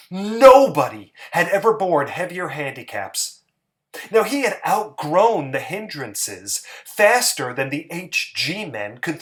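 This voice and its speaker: A man giving a dramatic story narration, theatrical and emphatic, with pauses for effect. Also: a little high.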